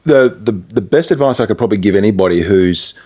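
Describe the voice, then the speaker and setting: A man's voice: on the low side, animated, fast, a little mellow. An Australian man, a radio presenter and musician, chatting casually as an interview guest.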